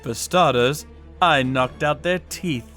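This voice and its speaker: A man tells the story in a slow, cold, detached way. His pitch sits in the middle of the range, and faint music plays under his voice. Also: a bit bright. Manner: cold, sadistic, unhurried. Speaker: narrator and torturer character. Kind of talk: manga dub narration.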